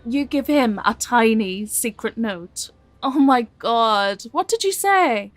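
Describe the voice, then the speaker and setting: An actress playing a devoted but wry, teasing and reluctant grown daughter in an audio drama. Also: female voice, a little high, expressive, slow, faint music behind. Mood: wry, exasperated.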